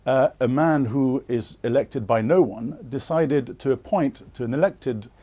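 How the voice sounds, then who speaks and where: A man being interviewed on live political TV, earnest and insistent, pausing often with hesitations as he makes his case. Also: mid-range voice, mellow. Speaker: interviewee, former political candidate.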